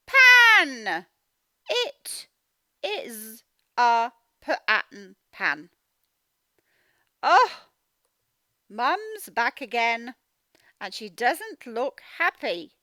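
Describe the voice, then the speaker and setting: A woman teaching a children's reading lesson, expressively reading aloud and sounding out words slowly. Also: fairly high pitch, bright, forceful tone, no room sound, studio quality. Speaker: phonics teacher.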